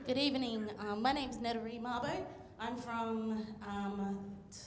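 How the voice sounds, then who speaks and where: A woman's voice: fairly high pitch, fairly even intonation, normal speed, a faint crowd, a little hiss or hum. Hesitant woman, far and echoey. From Australia. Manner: hesitant, many fillers. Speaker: youth programs manager. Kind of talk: public speech. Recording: distant, very echoey hall.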